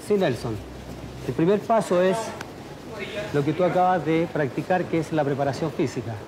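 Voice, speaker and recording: A man's voice: on the high side, expressive, moderate pace, background noise. A middle-aged man, a karate instructor explaining a technique step by step for television. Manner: counting drills, step-by-step explaining. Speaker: karate school director and instructor. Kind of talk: instructional demonstration for TV. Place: indoor karate dojo.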